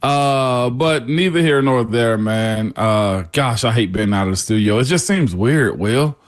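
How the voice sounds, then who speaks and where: A man's voice: medium pitch, a little slow. A man in his forties co-hosting a sports radio show from home, laid-back and laughing often. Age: 40s. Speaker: co-host. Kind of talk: casual sports radio chat. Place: at home, remote.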